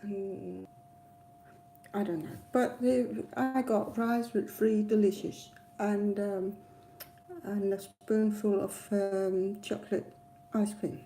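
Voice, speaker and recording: A woman with a Danish accent chats casually about her own experiences at a slow, halting pace. Her voice is soft-edged and mellow, and she rambles with frequent pauses. There is a faint noise behind her. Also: mid-range voice, fairly even intonation, vocal fry, poor audio. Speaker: travel vlogger. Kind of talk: casual personal storytelling to camera.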